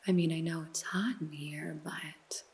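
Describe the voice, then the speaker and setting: An actress playing a sly woman detective in a noir ASMR roleplay, questioning a suspect in a slow, teasing, mostly whispered voice. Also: low voice, fairly even intonation. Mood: teasing, probing.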